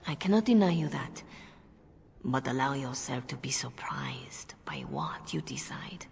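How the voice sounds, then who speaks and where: An actress playing a wry, worldly middle-aged priestess in a game, measured and formal. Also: female voice, very deep voice, expressive, gravelly, long reverb tail, heavily acted. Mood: calm, grave. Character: composed, wry, worldly middle-aged revered mother of a chantry. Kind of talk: dramatic game dialogue. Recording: faint background noise.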